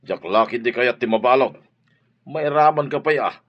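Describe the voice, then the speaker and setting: A man's voice: mid-range voice, normal speed, close to an everyday voice. A man playing a fearful, reluctant accomplice in an Ilocano radio drama. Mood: afraid. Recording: dull radio drama recording.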